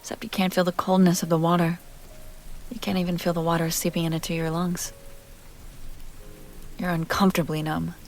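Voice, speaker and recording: A woman's voice: lowish voice, flat delivery, normal speed, gravelly, long pauses. A woman playing a self-assured, playful vampire heiress in an intimate roleplay audio drama, talking directly to the listener. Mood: pensive.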